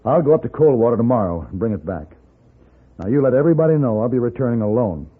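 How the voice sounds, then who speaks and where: An actor playing a composed, courteous gunfighter for hire with an American accent, speaking in a polished, deliberate 1950s radio manner. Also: male voice, mid-range voice, expressive, a little hiss or hum, natural voice. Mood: calm, assured. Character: composed, courteous gunfighter for hire, narrating his own tale. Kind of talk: 1950s Western radio acting and narration. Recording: narrow-band 1950s radio recording with music.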